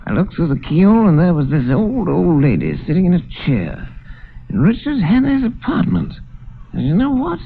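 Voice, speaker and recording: An actor playing a drunken, rambling in an old radio drama, with a slurred, nosy manner. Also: male voice, fairly high pitch, a little hiss or hum. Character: drunken upper-class Englishman, rambling and nosy. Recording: tinny lo-fi old radio recording.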